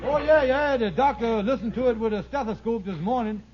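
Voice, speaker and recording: An actor playing a scheming middle-aged con artist in an old radio sitcom, folksy and wheedling, stammering when cornered. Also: male voice, very high-pitched, fairly quick, noisy background. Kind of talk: 1950s radio sitcom acting. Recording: muffled old radio broadcast.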